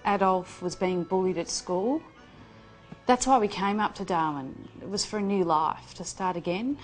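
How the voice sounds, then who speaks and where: A woman's voice: medium pitch, moderate pace, faint music behind, close to an everyday voice. A woman playing an earnest, self-pitying bigoted mother giving a hesitant mock documentary interview. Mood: aggrieved, earnest.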